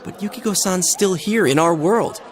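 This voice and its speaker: An American man voicing an earnest, excitable teenage schoolboy in a video game, talking in a casual, expressive way. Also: sits high, moderate pace, close to an everyday voice. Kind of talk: English video game voice acting. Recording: background music and crowd chatter behind.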